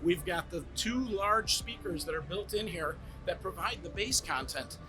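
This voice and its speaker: Man, brand rep, eager sales pitch, lively explaining, trade show demo room, high-pitched. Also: normal speed, music in the background, poor audio. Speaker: brand representative demonstrating home theater gear. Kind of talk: product demo talk.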